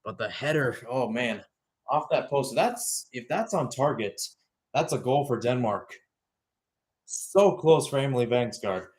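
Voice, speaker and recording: A man's voice: medium pitch, expressive, a little slow, darkish tone, breathy edge. Young man calling live soccer play-by-play. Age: young adult. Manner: conversational asides between clipped calls of the play. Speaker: play-by-play commentator. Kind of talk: live radio soccer commentary.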